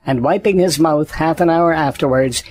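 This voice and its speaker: Gentle male storybook narrator. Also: a little high, moderate pace. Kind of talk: storybook narration for an audio drama.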